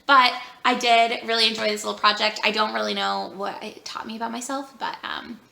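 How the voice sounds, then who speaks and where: A woman vlogger talking casually about books she read, rambling with lots of fillers and a voice that is going hoarse. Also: fairly high pitch, fairly even intonation, fairly quick, sharp tone, echoey room. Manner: chatty, rambling with many fillers. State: losing her voice. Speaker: book vlogger. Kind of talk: casual vlog chat.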